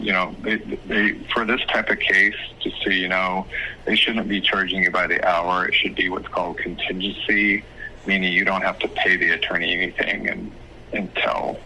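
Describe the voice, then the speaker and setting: A man speaking as an attorney, giving advice on a phone call in a measured, hesitant, explanatory way. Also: deep voice, bright, forceful tone. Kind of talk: phone consultation, casual advice. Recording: phone call with faint noise.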